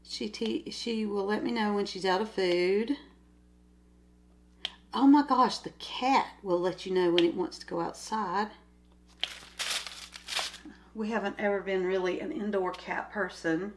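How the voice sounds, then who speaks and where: A woman's voice: mid-range voice, unhurried, long pauses, background noise. An older woman with a nasal voice from a cold, chatting casually at home while she does a craft, rambling from one topic to the next. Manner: rambling, chatty, easily sidetracked. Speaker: crafting vlogger. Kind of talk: casual chat while diamond painting.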